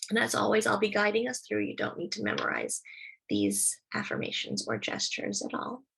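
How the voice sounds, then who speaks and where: A woman leading a guided meditation, speaking slowly and soothingly as she gives gentle instructions. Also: mid-range voice, wildly swooping pitch, creaky, husky, rough recording.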